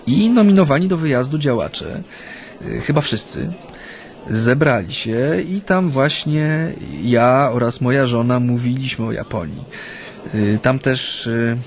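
An actor playing a chatty, nervous Japanese man steeped in Polish ways, speaking haltingly in naturalistic radio drama dialogue. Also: mid-range voice, close to an everyday voice. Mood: earnest, reminiscing. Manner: hesitant, halting, with many hems and repetitions.

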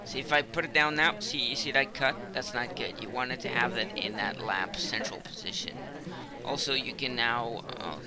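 A man's voice: mid-range voice, steady tone, moderate pace, crowd noise. A man with a cold, casually commenting on his own gameplay while he plays, rambling with lots of fillers. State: hoarse from a cold.

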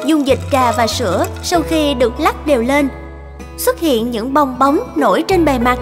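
A woman narrates a scripted story as if from a storybook. Her voice is high, and guitar music plays behind her. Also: normal speed, in a large hall.

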